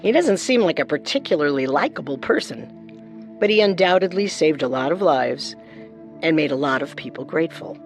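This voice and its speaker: A woman's voice: low-pitched, expressive, normal speed. A woman co-hosting a scripted true-crime show as narrator, with music underneath. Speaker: co-host and narrator.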